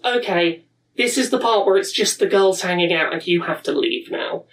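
A woman's voice: fairly high pitch, distant mic, poor audio. A woman in her thirties giving an earnest, reflective personal talk at a pride event. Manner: earnest, warm, with reflective pauses and restarts.

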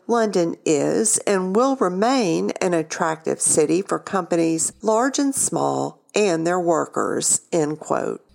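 A woman's voice: fairly low pitch, creaky, darkish tone. A woman presenting scripted industry news on a podcast, reading as a narrator.

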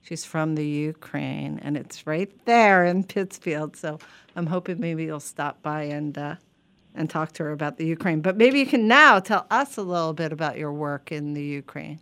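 A woman's voice: sits low, normal speed, fairly bright tone, smooth. A woman hosting an interview in a casual, rambling, anecdotal chat. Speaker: interview host.

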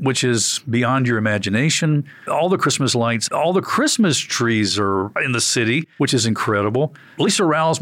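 A man's voice: mid-range voice, fairly bright tone, dry recording. A man hosting a radio interview in an upbeat promotional style, chatty and given to exclaiming. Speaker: radio show host.

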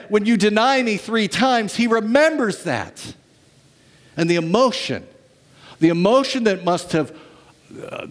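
Male pastor, sermon, high voice, storytelling, lively then hushed. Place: church.

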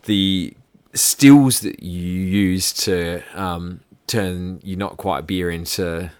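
A man's voice: low voice, very slow, a little mellow, clear, resonant tone, no room sound. An Australian man co-hosting a podcast, chatting casually and hesitantly as he searches for his words. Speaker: podcast co-host. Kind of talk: casual whisky podcast chat.

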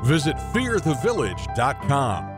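Lively American radio ad announcer, man. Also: medium pitch, a little slow, over music. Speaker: advert and promo announcer. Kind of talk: scripted radio ad read.

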